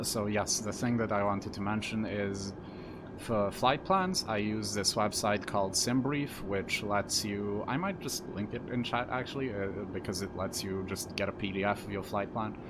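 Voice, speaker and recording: A man from Serbia whose first language is Serbian, streaming a flight simulator in casual, rambling commentary full of fillers and self-deprecating asides. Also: lowish voice. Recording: loud constant background hum.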